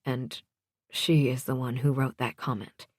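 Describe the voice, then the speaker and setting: Sleepy young boyfriend, played by a woman, low pitch, soft mumble, tender, romance audiobook. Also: level tone, a little slow, no room sound, clean studio sound.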